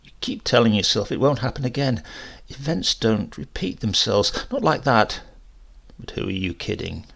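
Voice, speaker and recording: Storybook old landlady, played by a man: gossipy, defensive, confiding, crackly and hoarse-edged. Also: fairly low pitch, lively intonation, moderate pace, fairly bright tone, pitched below the performer's own voice, heavily acted. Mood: wistful, confiding. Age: older. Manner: gossipy, colloquial, rambling, confiding. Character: chatty, defensive old landlady near seventy. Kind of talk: dramatized horror story reading.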